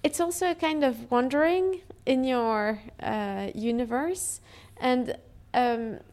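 A woman interviewer with a high voice speaks slowly and deliberately. She builds long, careful questions about an artist's work. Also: darkish tone.

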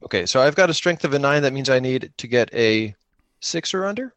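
A man with a mid-pitched voice plays a tabletop game, thinking aloud in a casual, conversational way. He makes small self-deprecating asides. The sound is close and dry, with no room on it. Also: lively intonation, brisk, a bit bright, no room sound. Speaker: podcast player improvising a solo tabletop game.

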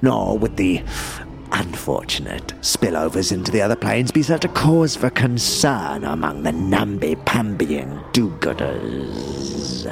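A very deep, gravelly fiend, smug and grandiose. His delivery swoops theatrically through florid, formal phrases in audio drama style, with faint music underneath. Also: sing-song, a little slow, full character voice. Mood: self-righteous.

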